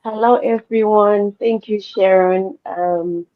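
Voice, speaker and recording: A woman's voice: medium pitch, steady tone, slow pace, no room sound. A woman with a Zimbabwean accent giving a personal testimony as a guest in a live discussion, speaking hesitantly with repeated words, on slightly lo-fi online audio. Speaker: panel guest, digital business owner.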